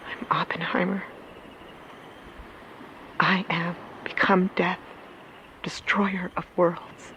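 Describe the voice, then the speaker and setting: A woman's voice: on the low side, little pitch movement, takes it slowly, long pauses, bright, forceful tone. A woman playing a desperate explorer recording a log of a grim discovery in an audio drama. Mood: hushed dread. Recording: noisy field recording, machinery-like ambience.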